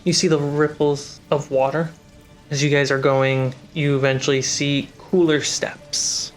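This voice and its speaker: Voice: medium pitch, little pitch movement, measured pace, soft background music. A game master narrating a tabletop roleplaying adventure to players, describing scenes and calling for rolls.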